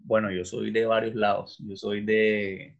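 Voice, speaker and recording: A man's voice: fairly low pitch, flat delivery, a little slow, vocal fry. A middle-aged man from Venezuela, a teacher being interviewed, talking casually and thoughtfully with frequent fillers and restarts. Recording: dull lo-fi sound.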